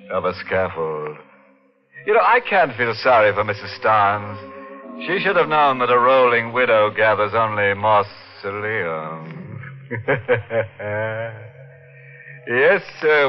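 An actor playing a gleefully macabre horror host, with a sardonic, drawn-out 1940s radio delivery. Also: male voice, fairly low pitch. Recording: muffled old radio recording.

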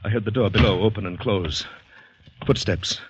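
Man acting a haunted, low-voiced fugitive in old radio drama. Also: normal speed, a little hiss or hum, natural voice. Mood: tense dread. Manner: dramatic, haunted, urgent delivery. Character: terrified, shell-shocked man fleeing a murder scene. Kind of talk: 1940s-50s radio drama acting, first-person narration. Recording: dull vintage radio recording, music behind.

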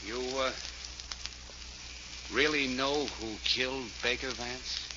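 An American actor playing a wary, threatening tough guy in a 1940s radio drama, blunt, slangy and halting. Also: medium pitch, close to an everyday voice. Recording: dull, noisy 1940s radio recording.

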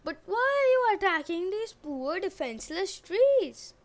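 A woman voicing a proud harvest goddess, sweet in disguise and booming in wrath. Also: very animated, a little slow, heavily acted. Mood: sweet, pleading.

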